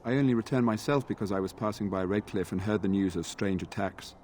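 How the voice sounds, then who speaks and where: An actor playing an earnest, dutiful knight in a fantasy video game, speaking formally and courteously. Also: male voice, fairly low pitch, fast talker, darkish tone, a little hiss or hum, lightly acted. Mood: grave, informative. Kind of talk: video game dialogue acting.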